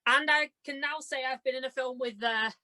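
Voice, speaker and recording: A woman's voice: high voice, fairly even intonation, a little fast, pushed and bright. A young woman talking casually as an interview guest, telling stories in a chatty way and acting out her reactions. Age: young adult. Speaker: interview guest, amateur actor and cosplayer. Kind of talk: casual conversational chat.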